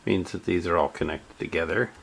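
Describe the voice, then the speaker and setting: A man narrating a step-by-step radio repair in a halting way, with many fillers. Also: sits low, creaky, slight background noise.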